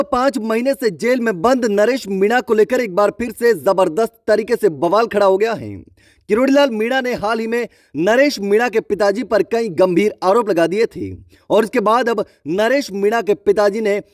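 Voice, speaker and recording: A man's voice: sits high, no room sound, studio quality. A man narrating online news commentary, speaking emphatically to viewers with repeated phrasing.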